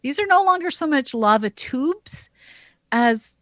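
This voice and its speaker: Woman explaining science slowly and carefully, dry close sound. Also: sits high, animated, a bit bright, no echo. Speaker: podcast co-host, astronomer.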